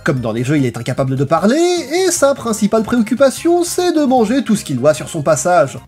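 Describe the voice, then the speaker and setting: Lively, animated narrator of a video essay. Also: mid-range voice, fairly quick. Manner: expressive, emphatic, colloquial delivery. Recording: voice over background music.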